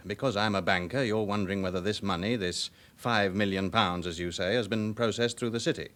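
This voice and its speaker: A British gentleman banker, played by a male actor in a radio crime play, speaking in a lowish voice. He is formal, guarded and easily affronted. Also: a little fast, natural voice. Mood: guarded, uneasy. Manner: formal, indignant. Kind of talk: radio crime drama acting.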